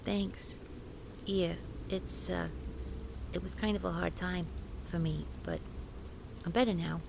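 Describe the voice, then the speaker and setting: A woman's voice: lowish voice, steady tone, takes it slowly, vocal fry, noisy background. A woman playing a flustered, rambling young woman. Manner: flustered, rambling, self-correcting. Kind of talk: scripted audio roleplay.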